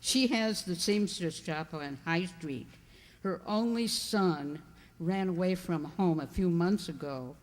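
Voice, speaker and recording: A woman's voice: fairly low pitch, slow pace. A woman playing a brusque, gossipy and disapproving village landlady in an amateur radio drama. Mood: matter-of-fact. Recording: long hall-like reverb.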